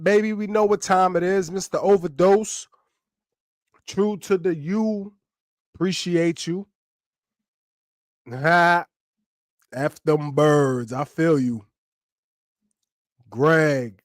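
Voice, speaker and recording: A man's voice: a little high, dry recording, studio quality. A man hosting a live podcast in laid-back, slangy casual chat, sounding nasal and congested from a sinus infection. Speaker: podcast host.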